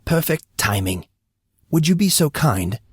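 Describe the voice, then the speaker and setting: A man voicing a courteous, calm, knowing gentleman with formal, polite phrasing in an audiobook story. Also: a little high, unhurried, husky, dry recording, pitched above the performer's own voice, heavily acted. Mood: courteous, amused.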